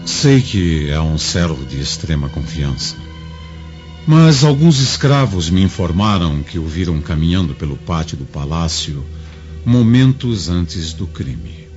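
Stern roman lord in a radio drama, super deep, formal, muffled audio with music behind. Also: male voice, big pitch swings, unhurried, a little mellow. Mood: stern, suspicious. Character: stern Roman master interrogating a slave.

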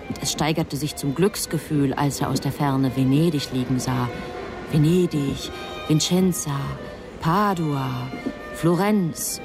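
A woman's voice: deep voice, lightly acted. An actress playing a self-assured, scheming angel in a radio drama, telling her story in a composed, matter-of-fact way. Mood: absorbed storytelling. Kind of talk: German radio drama acting.

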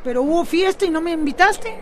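A woman's voice: very high-pitched, expressive, fast talker, a little hiss or hum. A woman playing a kindly, frank older neighbour giving advice in a radio drama, with a Costa Rican accent. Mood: frank, encouraging.

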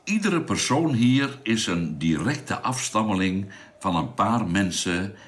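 Radio drama role: knowledgeable space crewman, very low voice, swooping intonation, measured delivery, some background noise. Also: animated. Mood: explanatory. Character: knowledgeable male space crewman explaining calmly. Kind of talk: Dutch science fiction radio drama acting.

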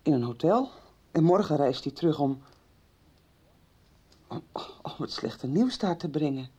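An actress playing a hesitant young woman in a 1990s radio drama, halting and repeating words. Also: sits low, expressive, mellow, slightly rough, full character voice.

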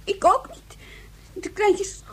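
A female performer playing an upset, anxious young child in a radio drama, halting and plaintive. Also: a little hiss or hum, close to an everyday voice.

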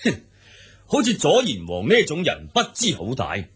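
Guy doing a blunt scornful steppe noble, cantonese accent, husky, lively pitch, radio play style. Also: moderate pace, exaggerated voice. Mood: resolute. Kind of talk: Cantonese audio drama acting.

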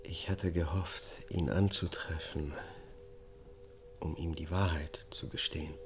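An actor playing a subdued, haunted man on trial for killing his family, testifying in a measured, matter-of-fact way in a radio drama. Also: a bass voice, steady tone, soft background music.

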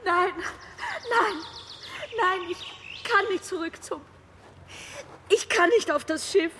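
A woman's voice: very high-pitched, slow, a little hiss or hum. An actress playing a frightened, desperate young woman in a radio drama, pleading in panic and repeating herself. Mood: panicked refusal.

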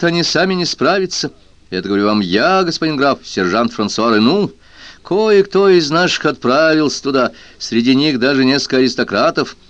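A man's voice: medium pitch, no room sound. A blunt soldier of the old days, played by a male narrator in an audiobook. He speaks with weighty emphasis and grim conviction, in a dry, slightly muffled recording. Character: blunt, emphatic army sergeant back from America.

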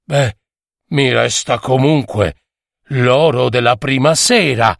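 A man's voice: medium pitch, takes it slowly, creaky, no room sound, clean studio sound. A man voicing a greedy, self-satisfied hunchbacked goldsmith who mutters to himself in a storybook tale. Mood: resigned.

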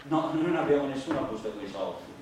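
Guy doing a flustered naive street performer in a stage farce, keeps repeating himself, pitch jumps around, far off in an echoey room. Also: lively intonation, darkish tone, lightly acted. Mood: flustered, protesting. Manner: repetitive, halting, insistent. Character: flustered, naive street actor protesting his innocence. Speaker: stage actor. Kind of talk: farcical stage dialogue. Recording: roomy, noisy, echoing distant stage recording.

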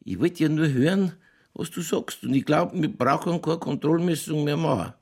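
A man playing an older, folksy Bavarian police chief in a radio crime drama, sly and skeptical, with a colloquial, dry, placating manner and a gravelly voice. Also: on the high side, moderate pace, slight background noise, close to an everyday voice.

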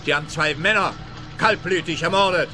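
A smug, officious male cop played by an actor, fairly high in pitch and full of sarcasm. It is radio play acting over clear music. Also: fairly quick, natural voice. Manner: pompous, officious.

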